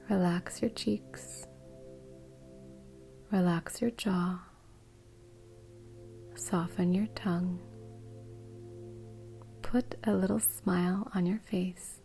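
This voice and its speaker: A woman guiding a meditation in a soft, breathy, soothing way. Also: medium pitch. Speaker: meditation guide. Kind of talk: guided meditation.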